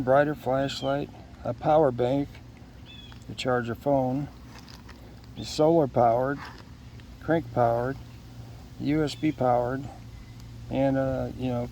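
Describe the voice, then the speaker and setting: Male unboxer, casual and halting, creaky mid-pitched voice, clear noise behind. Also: warm tone, highs cut off. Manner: unscripted, halting, thinking aloud. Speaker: product unboxer.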